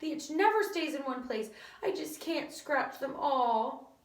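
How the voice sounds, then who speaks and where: A woman's voice: sits high, expressive, unhurried, echoey room, pitched above the performer's own voice. A woman voicing a whiny schoolgirl who complains she itches too much to work. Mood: whining.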